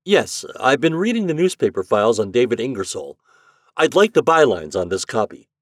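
A man's voice: mid-range voice, very animated, fairly quick, no echo, clean studio sound, pitched above the performer's own voice. A man reading a story, voicing a curt, impatient male investigator.

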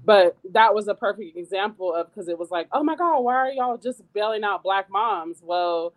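A woman guest on a radio talk show with a squeaky voice, speaking earnestly as a community organizer, with many fillers and restarts. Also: lively intonation, normal speed, poor audio. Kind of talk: radio interview.

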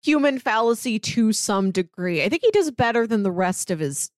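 A woman chats informally as a podcast co-host. Her voice is fairly high and moves with a very animated melody. The sound is dry and close, as she comments and slips into paraphrased dialogue. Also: moderate pace, no echo.

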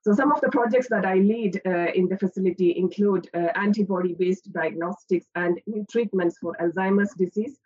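A woman who heads a research facility introduces herself on a panel in a steady way, with many "uh" fillers. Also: medium pitch, normal speed, hardly any pauses, highs cut off. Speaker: head of a drug discovery facility.

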